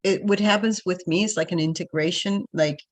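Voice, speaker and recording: A woman's voice: on the low side, a little fast. A woman with a Puerto Rican accent, speaking as a spiritual coach in an unscripted talk. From Puerto Rico.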